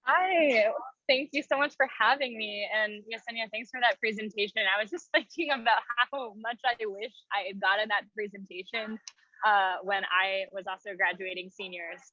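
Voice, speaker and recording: A woman's voice: medium pitch, normal speed, pushed and bright, dry recording, highs cut off. A young woman in her twenties, giving a casual talk over a video call, chatty and full of fillers and restarts. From Thailand and Minnesota. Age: 20s. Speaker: guest speaker. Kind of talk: casual presentation.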